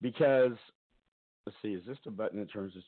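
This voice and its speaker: A man hosting a casual talk stream from home, loud-voiced, rambling and chatty, often laughing, talking into a headset. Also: on the low side, brisk, narrow, tinny audio. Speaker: talk show host.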